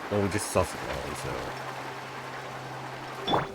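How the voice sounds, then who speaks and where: A woman's voice: extremely low pitch, lively intonation, unhurried, heavy background noise. A woman giving casual commentary while playing a video game and reading out dialogue choices. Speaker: gamer doing a playthrough. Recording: loud game music behind.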